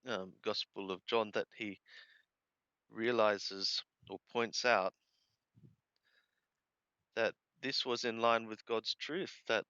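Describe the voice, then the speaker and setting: A man reading scripture aloud and translating it phrase by phrase, in a deliberate, halting way with careful enunciation. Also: on the low side, fairly even intonation, pushed and bright, clear, resonant tone, no echo, highs cut off. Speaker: Bible reader and translator.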